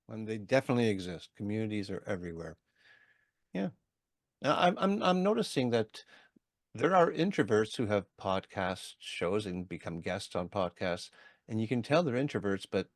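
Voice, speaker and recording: A man's voice: lowish voice, no echo. Podcast host guy, hungarian first language, thoughtful n encouraging, dry close sound. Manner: reflective, encouraging. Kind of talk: casual interview.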